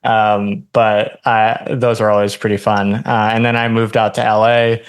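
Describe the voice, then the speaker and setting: A young man from Hawaii, a podcast guest telling his story in a relaxed way, full of ums and likes. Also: fairly low pitch, unhurried, smooth. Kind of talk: casual interview chat.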